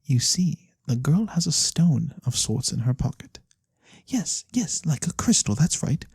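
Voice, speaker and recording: A performer voicing a mysterious, courteous fantasy lorekeeper in a soft-spoken ASMR roleplay, breathy and theatrical with knowing pauses. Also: medium pitch, very animated, no echo, clean studio sound, exaggerated voice. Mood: knowing, hushed. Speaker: storyteller character.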